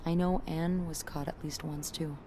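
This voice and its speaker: A woman playing a guarded, caring character in a video game, confiding haltingly in a friend. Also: deep voice, fairly even intonation, mellow, a little hiss or hum, natural voice. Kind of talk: naturalistic video game acting.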